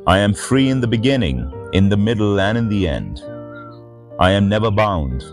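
A middle-aged man reading and commenting on scripture as a spiritual teacher, in a measured, reverent manner. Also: on the low side, sing-song. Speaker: spiritual teacher and channel host. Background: background music.

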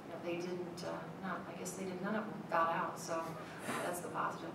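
Middle-aged woman coach at postgame presser, measured and halting, slightly low voice, very echoey room. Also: level tone, vocal fry. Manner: measured, many ums and uhs, restarts. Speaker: head basketball coach. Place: press room, seated at a table. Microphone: table mic, at a distance. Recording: echoey room, mic at a distance, noisy.

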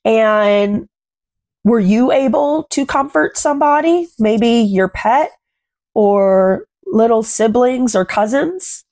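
A woman in her thirties reading a picture book aloud to children, commenting and putting questions to young listeners in simple, deliberate phrases. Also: on the high side, expressive, a little mellow. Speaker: children's read-aloud host. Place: small furnished room at home.

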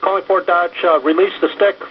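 A man's voice: high-pitched, fairly even intonation, brisk, muffled recording. A man working as an air traffic controller, giving procedural instructions to a pilot over radio. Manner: procedural, directive.

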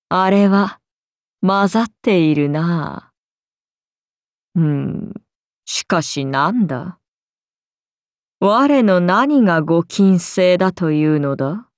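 Haughty serpent goddess for a game, super slow drawl, imperious n menacing, dry close sound. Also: female voice, medium pitch, a little mellow, no room sound. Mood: languid, curious, then puzzled. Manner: imperious, slow, archaic and languid speech. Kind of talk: video game character voice lines.

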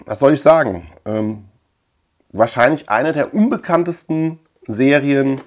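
A middle-aged man from Germany with a mid-pitched voice presents a collection in a casual show-and-tell. He talks informally and loosely, circling back and repeating words as he rambles along. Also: measured pace. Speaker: video collector and channel host. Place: indoor room lined with shelves of tapes.

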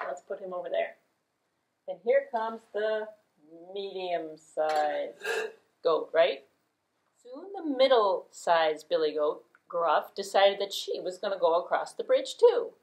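A woman's voice: fairly low pitch, a little mellow, rough recording. A middle-aged woman telling a puppet-show story, talking conversationally to children. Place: small room indoors.

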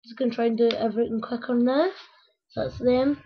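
A woman with a croaky voice, rambling casually to her viewers as she builds a toy step by step. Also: on the high side, fairly even intonation, fairly quick. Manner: rambling, talks to viewers while working with hands. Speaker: video maker building a toy. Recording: dull lo-fi home recording.

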